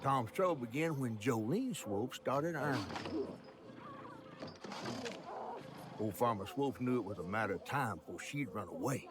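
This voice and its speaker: A man's voice: mid-range voice, animated, a little fast, noisy background, lightly acted. A man voicing a folksy Southern creature guide and storyteller in a video game, with a theatrical drawl and an American Southern accent. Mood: ominous storytelling.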